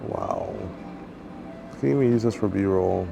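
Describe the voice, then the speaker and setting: A man vlogging on a cruise ship deck, chatty, excitable and teasing in his casual talk. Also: sits low, unhurried, creaky. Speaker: travel vlogger. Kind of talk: casual vlog chat.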